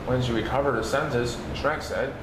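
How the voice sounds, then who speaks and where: A man's voice: medium pitch, normal speed. A man reading a children's story aloud as narrator. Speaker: storybook reader. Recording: roomy sound, steady background hum.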